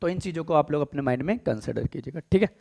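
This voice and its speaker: Hoarse Indian man teaching softly. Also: fairly high pitch, lively intonation. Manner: affectionate, calls students "beta", clears throat often; deliberately soft, slow speech. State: hoarse, sore throat. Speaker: online exam-prep English teacher. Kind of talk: live online class, Hindi-English mix.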